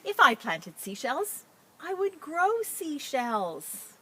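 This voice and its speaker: A woman who works as a teacher librarian, talking and reading a picture book to children in an expressive, enthusiastic way. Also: sits high, slow. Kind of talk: children's story read-aloud with casual introduction.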